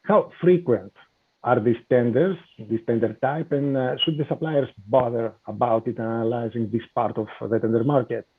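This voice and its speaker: A man hosting a business podcast, introducing his guest and asking questions with many "uh" pauses. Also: medium pitch, breathy edge. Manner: hesitant, many "uh" fillers. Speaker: podcast host. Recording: tinny phone-like call audio.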